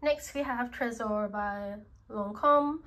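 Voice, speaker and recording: A woman with an Australian accent, congested from a cold, reviewing perfumes in a slow, gentle, casual way. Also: a little high. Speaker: perfume vlogger.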